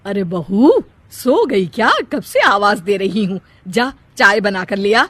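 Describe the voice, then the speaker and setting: A woman voicing a scolding middle-aged mother-in-law in a cartoon family story, loud and complaining. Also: mid-range voice, very animated, slow pace, dry recording, exaggerated voice.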